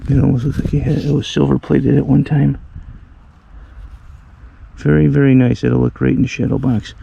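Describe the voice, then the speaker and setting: A hoarse man with a Western New York accent talks his way through a cold outdoor hunt, his low, dark voice gravelly and worn from a cold. He rambles with fillers and gets excited over each find. Faint outdoor noise sits behind him. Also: moderate pace, muffled recording. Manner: rambling, enthusiastic about finds, many fillers. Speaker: metal detecting vlogger. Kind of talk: casual narration while detecting outdoors. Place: outdoors in a snowy old park.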